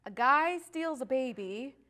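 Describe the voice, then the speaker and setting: A woman with a high voice chats casually as a show guest. She comes across as bubbly and excitable, with a giggly, informal way of talking. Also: unhurried. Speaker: guest, studio production coordinator. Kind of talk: casual chat.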